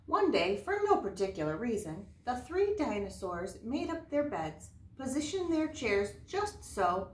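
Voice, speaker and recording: A woman's voice: fairly high pitch, sing-song, a little slow, few pauses. A woman teaching young children, explaining things simply, asking the listener questions and reading aloud. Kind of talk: early-grade lesson and read-aloud to children. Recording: roomy, distant mic, faint noise.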